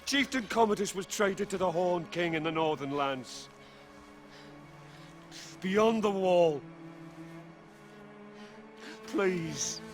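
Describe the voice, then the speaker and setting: Desperate captive elder from a northern tribe, slow dramatic speech, intense, music blaring behind. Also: male voice, sits high, exaggerated voice. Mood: desperate pleading. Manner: pleading, intense, ominous. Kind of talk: video game acting.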